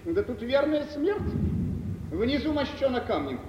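An actor playing a jealous, prickly, self-important suitor with theatrical, pointed delivery in a 1950s Soviet radio drama. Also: male voice, very high-pitched, big pitch swings, a little slow, exaggerated voice. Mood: matter-of-fact. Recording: distant, echoey, muffled, noisy stage recording.

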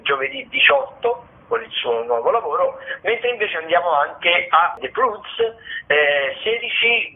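A man with a slightly raised pitch calls in to a radio show and runs through the week's theatre listings. He talks in a hesitant way, with frequent fillers and restarts. His voice comes through a thin, tinny phone line.

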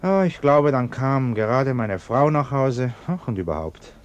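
An actor playing a respectable middle-class husband in a radio play, evasive, self-justifying and easily indignant. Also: male voice, mid-range voice, animated, unhurried, dull audio, faint music behind, natural voice. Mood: agitated, blustering. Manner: defensive, blustering, hesitant when cornered. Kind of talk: German radio play acting.

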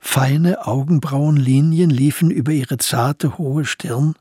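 A man's voice: a little high, fairly even intonation, slow pace, dark tone, husky, dry recording, clean studio sound. A man giving scripted narration for a historical crime radio play.

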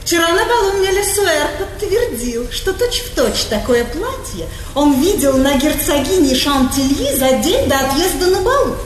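A woman's voice: high voice, big pitch swings, measured pace, few pauses. An actress playing a vain, effusive aristocratic lady in a stage comedy, with affected speech full of French endearments. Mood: breezy, insistent. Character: gushing, vain aristocratic lady, a princess in 1800. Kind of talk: theatrical stage comedy acting. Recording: echoey theatre hall, noisy 1980s recording.

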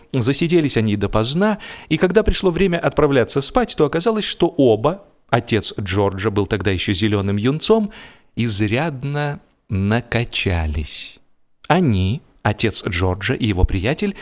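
A man reading a humorous audiobook in an expressive, measured style with theatrical pauses. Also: mid-range voice. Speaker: audiobook narrator. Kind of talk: expressive humorous scripted narration.

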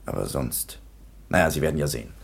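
A man's voice: a bass voice, brisk, creaky. An actor playing a perplexed, somewhat dismissive police commissioner giving his report in a radio play. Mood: dismissive, unsettled. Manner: animated storytelling, dismissive.

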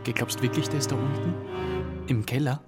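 A man's voice: medium pitch, fairly even intonation, a little fast, lightly acted. Male actor as needling schoolboy, mid-range voice, nervy, reverberant radio play. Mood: uneasy. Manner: defensive, needling. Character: cocky, nervy teenage schoolboy, bickering. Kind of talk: radio drama acting. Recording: long cellar-like reverb, music underneath.

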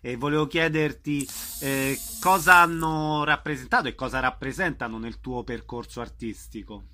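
A male interviewer with a mid-pitched voice puts informal questions to his guest. His tone is bright and forward, and his phrasing is conversational. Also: normal speed, slight background noise.

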